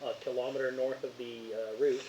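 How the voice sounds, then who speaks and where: A man acting as game master, narrating casually and bantering with the players in a teasing, excitable way. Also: medium pitch, fairly quick, a little hiss or hum, rough recording.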